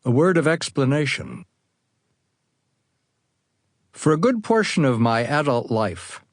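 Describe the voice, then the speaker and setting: A man's voice: medium pitch, darkish tone, no room sound, studio quality. A man with an American accent narrating a first-person account for an audiobook, as an author reading his own writing.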